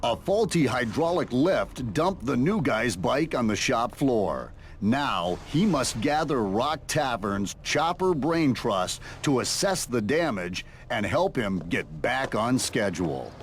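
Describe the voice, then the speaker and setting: A man's voice: medium pitch, very animated, slow pace, a little hiss or hum. A man playing the dramatic narrator of a reality show in a video game, reading scripted narration. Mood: dramatic, steady.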